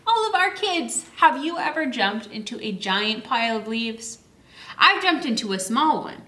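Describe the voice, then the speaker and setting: Female children's storyteller, fairly high and slow-paced, sing-song and exclaiming, recorded with noticeable room echo. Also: bright, forceful tone. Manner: sing-song, exclaiming, asks questions and answers them. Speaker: children's librarian hosting story time. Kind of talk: read-aloud and explaining to young children.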